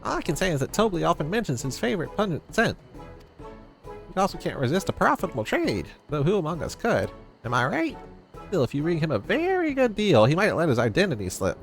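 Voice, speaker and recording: Man doing a chatty, shrewd trader, animated. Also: fairly high pitch, brisk, creaky, faint music behind, exaggerated voice. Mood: sly, chatty. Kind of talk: voicing video game dialogue.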